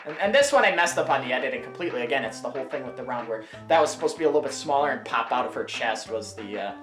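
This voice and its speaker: A man giving casual, playful, rambling commentary on his own parody series, excitable and off the cuff. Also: mid-range voice, rapid-fire. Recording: roomy sound over episode audio, music. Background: episode audio with music.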